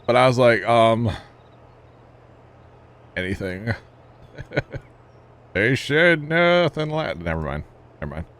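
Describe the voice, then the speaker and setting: A man chatting casually with his stream viewers while he plays, telling rambling jokey stories and chuckling often. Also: medium pitch. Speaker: livestream gamer. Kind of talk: casual livestream chat with viewers.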